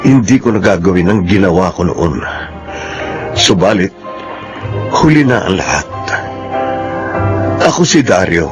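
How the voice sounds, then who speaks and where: An actor playing an intense, emotional criminal in a melodramatic radio drama. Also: male voice, deep voice, animated, over music. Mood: remorseful. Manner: intense, emotional, drawn-out exclamations. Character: lustful, menacing attacker, later remorseful prisoner.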